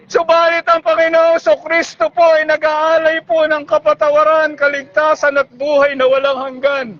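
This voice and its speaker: High-pitched Filipino man preaching, pleading. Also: muffled recording. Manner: pleading, shouting exhortation. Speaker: street preacher. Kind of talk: evangelistic public sermon. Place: busy public bus terminal.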